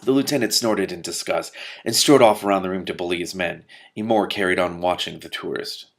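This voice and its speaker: A man hosts a read-aloud in a low-pitched voice with heavy vocal fry. He reads expressively and drops out of the story into casual, amused commentary. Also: a little fast. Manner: amused, breaking off to comment and laugh. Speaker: host reading a novel aloud with commentary. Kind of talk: expressive book reading with casual reactions.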